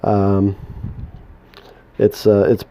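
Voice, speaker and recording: A man's voice: lowish voice, completely flat delivery, dark tone. A man with a New England accent giving a casual home kitchen demonstration, mumbling and restarting often. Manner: mumbling, halting, many restarts. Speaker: home cook demonstrating a recipe.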